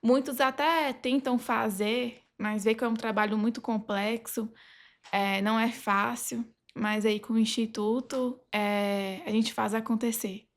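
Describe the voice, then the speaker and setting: A woman's voice: fairly high pitch, steady tone, measured pace. A woman with a Brazilian accent speaking as a podcast guest, sharing experiences from her job in a conversational, anecdotal style. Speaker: podcast guest, legal advisor.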